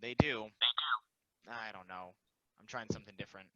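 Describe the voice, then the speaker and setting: A man's voice: lowish voice, steady tone, normal speed, dry recording, close to an everyday voice. A man playing a self-deprecating, philosophical and restless immortal football player, rambling confidingly in a casual stage play reading. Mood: wry, resigned. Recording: dry, slightly dull recording.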